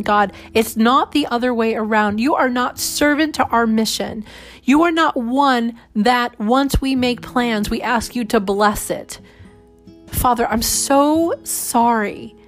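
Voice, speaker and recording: A woman leading a spoken congregational prayer in an earnest, pleading way, often repeating "God" and "Lord.". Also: on the high side. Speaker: prayer leader. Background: soft guitar music.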